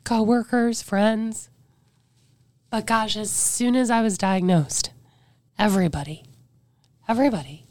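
Soft-voiced woman whose voice can't get loud. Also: mid-range voice, unhurried, long pauses, no echo. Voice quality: weakened voice that cannot get loud. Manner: earnest, reflective, with many "like" fillers. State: voice drops to a whisper at times. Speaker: podcast guest. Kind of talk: candid personal storytelling.

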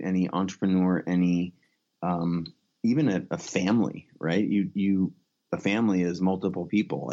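Male interview guest, low pitch, blunt and emphatic, on dull lo-fi audio. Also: dark tone. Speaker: interview guest, photographer and author. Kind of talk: conversational interview, explaining.

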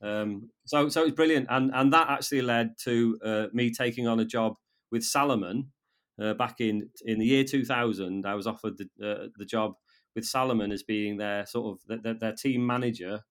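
Halting North Welsh man in his fifties. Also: medium pitch, fairly even intonation, a bit bright. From North Wales. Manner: halting, with many repeated words and restarts. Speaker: guest. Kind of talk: casual interview conversation.

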